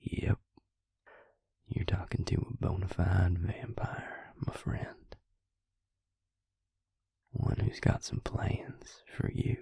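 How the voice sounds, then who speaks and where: A man playing a devious, smug male vampire in an intimate audio roleplay, taunting his victim slowly in a breathy, gravelly whisper. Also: low-pitched, completely flat delivery, warm tone, no echo, studio quality, full character voice. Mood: sly, taunting. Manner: slow, taunting, self-satisfied.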